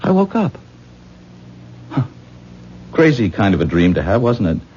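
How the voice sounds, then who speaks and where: A man's voice: on the low side, wildly swooping pitch, unhurried, warm tone, slightly rough, full character voice. An actor playing a modest, star-struck ordinary man in a 1970s radio drama, speaking hesitantly and earnestly. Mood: wondering, unsettled. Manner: hesitant, self-deprecating, earnest. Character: self-doubting, star-struck ordinary man confiding in a woman. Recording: muffled, noisy 1970s radio broadcast.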